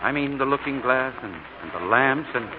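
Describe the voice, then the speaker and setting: Man playing sober father, medium-pitched voice. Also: expressive, normal speed, lightly acted. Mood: proud, contrite. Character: sober, contrite middle-aged father, proud of son. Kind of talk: 1940s radio drama acting. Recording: noisy, narrow old radio broadcast.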